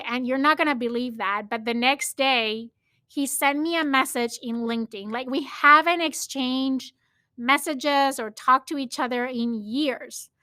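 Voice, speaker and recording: A woman's voice: high voice, a little slow, bright, forceful tone, dry recording. A middle-aged woman from Spain with a Spanish accent, hosting a solo podcast in earnest, storytelling talk full of "like" fillers. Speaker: podcast host.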